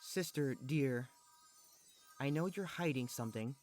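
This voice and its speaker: Performer doing a soft, sleepy pony princess. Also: mid-range voice, unhurried, soft background music, natural voice. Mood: patient, gentle. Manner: soft, muffled, patient. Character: sleepy, gentle older-sister pony princess.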